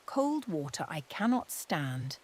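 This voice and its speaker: A woman's voice: sits low, sing-song, takes it slowly, breathy edge, full character voice. A woman voicing a sorrowful man who complains of endless thirst in a fairy tale.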